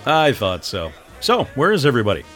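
A man's voice: mid-range voice, huge pitch swings, brisk, fairly bright tone, soft background music. A man playing a cheeky, teasing buddy in an audio drama.

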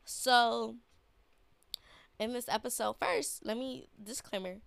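A woman's voice: a little high, creaky, a bit bright, clean tone, no echo. A young woman hosting a casual podcast, talking in a laid-back, slangy way with a congested voice from a sinus infection. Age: young adult. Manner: slow, laid-back slangy talk with fillers. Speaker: podcast host. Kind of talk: casual solo podcast talk.

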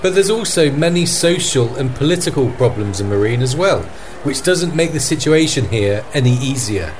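A man's voice: medium pitch, expressive, normal speed, faint music behind. A man acting as a field correspondent, giving a scripted news report in an earnest, formal manner. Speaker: podcast host as field correspondent. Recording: faint background noise and ambience.

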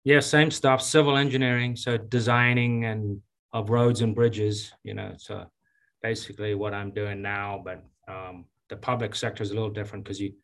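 A man in his fifties from Zambia, a county engineer giving relaxed, chatty interview answers with many fillers and restarts. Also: lowish voice, normal speed. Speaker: county engineer and public works director.

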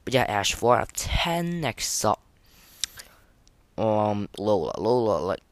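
A man's voice: fairly low pitch, very animated, takes it slowly, no room sound. A young man hosting a casual, unscripted podcast of game ratings in a hesitant, rambling, informal way. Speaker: podcast host.

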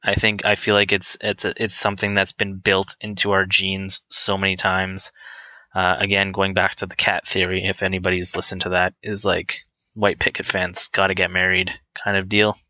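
A man in his late twenties talking candidly and casually as a guest, hesitant and halting, feeling his way through his answers. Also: low voice, completely flat delivery, bright, forceful tone, dry recording, poor audio. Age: twenty-nine. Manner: hesitant, halting, self-conscious. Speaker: interview guest on a podcast.

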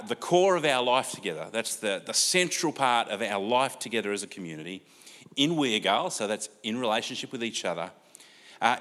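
A man's voice: medium pitch, a bit bright. Older Australian man preaching casually. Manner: casual, conversational, many fillers. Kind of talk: sermon. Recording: long hall reverb.

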